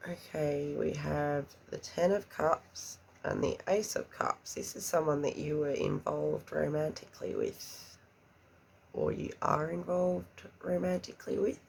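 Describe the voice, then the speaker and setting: A woman's voice: low-pitched, fairly even intonation, unhurried, gravelly, darkish tone, slight background noise. A woman giving a pick-a-card tarot reading, chatty and a little congested, murmuring questions to spirit under her breath. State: congested after an illness. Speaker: tarot reader.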